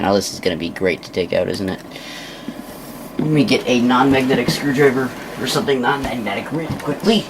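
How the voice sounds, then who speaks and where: A man with a somewhat deep voice talks through a hands-on task as he does it. His delivery is casual and halting, muttered in an unscripted way. The amateur recording has a clearly audible rumbling noise behind him. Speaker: presenter of a hands-on science demonstration. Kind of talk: unscripted hands-on demonstration commentary.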